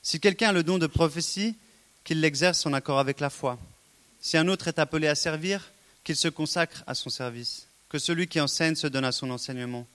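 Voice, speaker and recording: A man's voice: a little high, normal speed, a bit bright, smooth. A man preaching a sermon, earnest and exhorting, with frequent rhetorical questions to the congregation.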